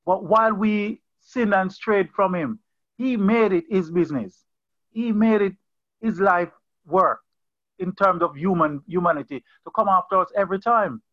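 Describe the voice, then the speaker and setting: A man's voice: high voice, normal speed, no room sound, dull audio. A man leading a Bible study over a video call, preaching in an earnest, conversational way and often repeating and restarting phrases. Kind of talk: informal sermon on a video call.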